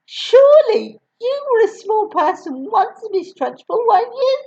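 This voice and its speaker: A woman voicing a brave small schoolboy who answers back in a high, anxious voice. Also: very animated, a little slow, mellow, lo-fi sound, heavily acted. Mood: nervous.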